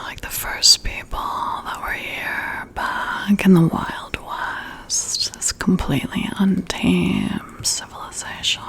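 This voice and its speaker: A woman doing soft-spoken ASMR, talking in a hushed, intimate, musing way as if chatting to one listener. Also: mid-range voice, gravelly. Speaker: ASMR roleplay performer. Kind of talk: soft-spoken whispered ASMR, casual one-sided chat.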